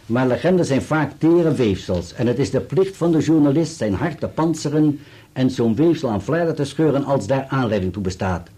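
An actor playing a precise, formal solicitor in a radio play, with an articulate delivery. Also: male voice, medium pitch, expressive, moderate pace, warm tone, slightly rough. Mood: declamatory, precise. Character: dutiful male solicitor reading an article aloud, precise and formal.

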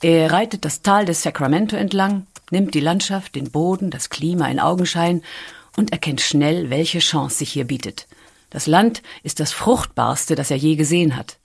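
Low, husky female storyteller. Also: normal speed. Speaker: audiobook narrator. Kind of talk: scripted documentary storytelling narration.

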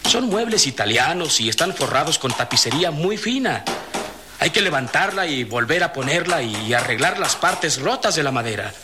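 A Mexican actor playing a cautious, hesitant middle-aged master cabinetmaker in a radio drama. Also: male voice, a little high, sing-song, moderate pace. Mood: grumbling, worried. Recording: noisy old radio drama recording.

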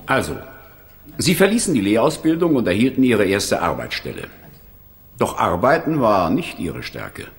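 Male court official, stern, formal, sarcastic, slightly deep, highly animated, radio crime drama. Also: moderate pace, creaky, heavily acted. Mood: accusatory. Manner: formal, accusatory.

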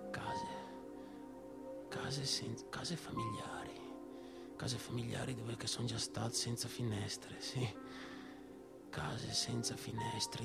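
Disturbed young small-town guy, northeast italian accent, radio play monologue, stammery and repeating himself, manic and brooding, music really loud behind. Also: on the low side, gravelly. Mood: hushed, brooding. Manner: halting, obsessively repetitive, colloquial. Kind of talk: theatrical monologue for radio theatre.

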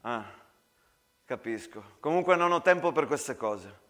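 A man's voice: mid-range voice, expressive, a little slow, pushed and bright. A man playing an aloof army captain in a trench drama, speaking in a clipped, dismissive manner. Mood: cold, guarded.

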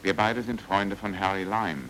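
An earnest amateur investigator with a very deep voice. He probes politely and insistently, in radio crime drama style, on an old, slightly noisy recording. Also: fairly even intonation, moderate pace, a bit bright. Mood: probing. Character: dogged, earnest foreign man investigating a friend's death.

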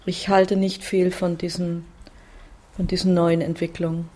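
A woman's voice: fairly low pitch, level tone, measured pace, dark tone, rough recording. A woman reminiscing in conversation in a reflective way, searching for her words as she goes.

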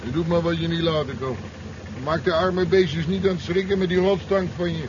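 Guy acting a cheeky loudmouth truck driver, old radio play, highish voice, engine racket behind. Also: steady tone, fairly quick, lightly acted. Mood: insolent, mocking. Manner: coarse, slangy, mocking. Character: cheeky, brash, rebellious truck driver hauling pigs. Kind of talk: 1970s radio drama acting. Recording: dull, noisy 1970s radio recording, engine rumble. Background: vehicle engine noise.

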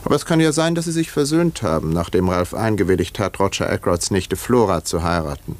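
A discreet, observant country doctor who narrates a 1950s German radio play. His voice sits low and moves with lively intonation, while his delivery stays measured, polite and articulate. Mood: polite, puzzled.

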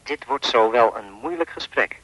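A man's voice: medium pitch, animated, normal speed, fairly bright tone, dry recording, rough recording. An actor playing a creepy, insistent anonymous caller in a radio play, needling and insinuating as he speaks. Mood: coaxing.

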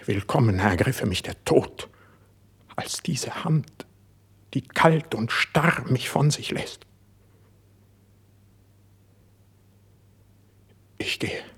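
Brooding proud poet, very husky low voice, slow declamatory verse, classical radio drama. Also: male voice, fairly bright tone, full character voice. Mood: anguished. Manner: declamatory, solemn stage diction. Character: wounded, proud, brooding young male poet.

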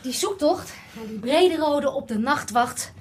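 A woman's voice: high-pitched, lively intonation, a little slow, slight background noise. An actress playing a down-to-earth young female student, casual and coaxing, in a modern radio drama. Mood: blunt, practical. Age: young adult.

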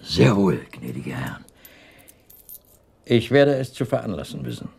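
An actor playing an obliging official, formal and deferential, in a German radio drama. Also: male voice, low-pitched, very animated, unhurried, vocal fry, a little mellow, husky, full character voice. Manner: formal, servile. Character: obliging, deferential male official guarding a prisoner.

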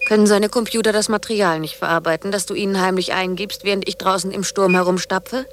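A woman's voice: mid-range voice, fairly even intonation, quick pace, a little hiss or hum, natural voice. An actress playing a cool, self-reliant younger woman in a German radio drama, dry, blunt and dismissive. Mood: sardonic.

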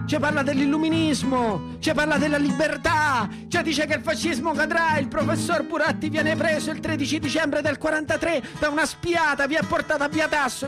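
An actor playing a naive, lame country youth recalling wartime in a theatrical radio monologue, colloquial and repetitive, with a central Italian accent. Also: male voice, extremely high pitch. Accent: central Italian, Lazio. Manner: colloquial, breathless, repetitive, wide-eyed.

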